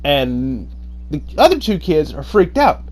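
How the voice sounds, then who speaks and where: Guy telling a story off the cuff, really lively pitch swings, dramatic, does the dialogue. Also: a little slow. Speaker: storyteller, host. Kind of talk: informal spoken storytelling.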